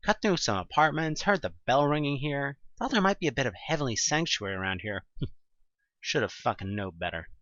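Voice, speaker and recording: A man acting a gruff, world-weary male survivor with a salty, folksy, offhand way of talking in an amateur audio drama. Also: medium pitch, expressive, fairly quick, a bit bright, dry recording, dull audio, natural voice. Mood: weary, wry.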